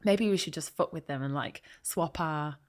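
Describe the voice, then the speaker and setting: A woman's voice: deep voice, moderate pace, a little hiss or hum. A woman co-hosting a podcast, chatting casually and telling a story with frequent giggles. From United Kingdom. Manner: giggly, storytelling, laughs often. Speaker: podcast co-host. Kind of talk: casual chat.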